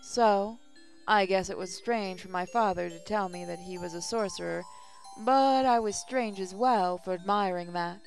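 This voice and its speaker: Creaky-voiced woman reading a game aloud. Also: mid-range voice, moderate pace, low-quality recording. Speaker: gaming channel host. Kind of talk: reading visual novel text aloud, with casual asides. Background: music.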